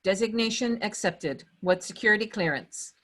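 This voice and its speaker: A woman's voice: mid-range voice, animated, moderate pace, fairly bright tone. A woman voicing an impersonal, procedural computer security system in a screenplay table read. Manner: formal, procedural.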